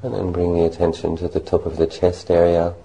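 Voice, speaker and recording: A man leading a guided meditation as a monk, speaking gently and unhurriedly in short phrases with long pauses. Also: deep voice, monotone, creaky, low-quality recording.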